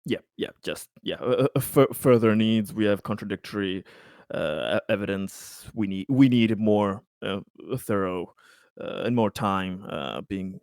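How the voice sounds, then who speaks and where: A man speaking formally and haltingly, repeating words as he plays a tabletop roleplaying game. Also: on the low side, a little mellow, dry recording, clean studio sound. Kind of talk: in-character tabletop roleplay discussion.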